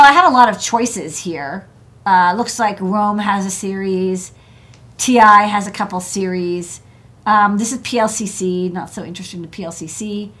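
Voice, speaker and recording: A woman in her thirties, an electronics engineer hosting a desk show, walking through parts in a casual, chatty way with many fillers and restarts, seated at her workshop desk. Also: medium pitch. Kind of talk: casual technical walkthrough.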